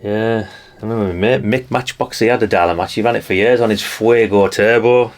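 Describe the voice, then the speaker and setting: A man's voice: fairly low pitch, steady tone, a little fast. A middle-aged man with a North East English accent, giving casual, rambling commentary in a chatty, matter-of-fact way, often ending remarks with tag questions. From North East England. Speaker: vlogger.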